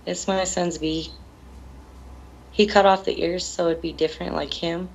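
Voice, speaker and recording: A grieving middle-aged woman being interviewed, speaking plainly and haltingly about painful things. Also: fairly low pitch, steady tone, creaky, breathy edge, rough recording. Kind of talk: emotional television interview.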